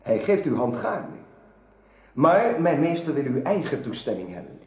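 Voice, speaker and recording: A man's voice: mid-range voice, sing-song, moderate pace, lightly acted. Male actor as devoted knight, formal, earnest, medium voice, radio drama, echoey, muffled recording. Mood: courteous. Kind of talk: radio play acting. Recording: roomy, dull old radio drama recording.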